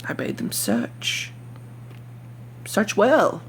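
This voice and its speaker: A woman's voice: mid-range voice, wildly swooping pitch, drawn-out words, a little hiss or hum, full character voice, low-quality recording. A woman voicing an unhinged, cunning murderer in a theatrical, intense dramatic reading of a horror story. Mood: smug, confident. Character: unhinged murderer, smug and cunning, growing frantic.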